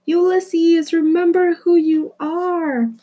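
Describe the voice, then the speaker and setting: A woman's voice: very high-pitched, little pitch movement, slow pace, pitched above the performer's own voice. A woman voicing an urgent, encouraging young girl in a storybook, calling out commandingly. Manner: shouting, commanding.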